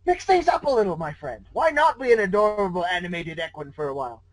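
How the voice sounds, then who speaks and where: A man's voice: high voice, very animated, fast talker, dry recording. A man voicing a flippant, teasing old trickster from a cartoon pony fan fiction, with theatrical delivery. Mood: teasing persuasion. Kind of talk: dramatic fan fiction reading.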